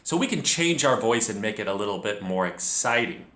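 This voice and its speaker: Man, children's reading teacher, lively intonation, bright voice, clear storytelling, echoey room. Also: fairly low pitch, fairly quick. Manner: expressive, addresses the learner directly. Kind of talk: encouraging read-along lesson with calm, clear story narration.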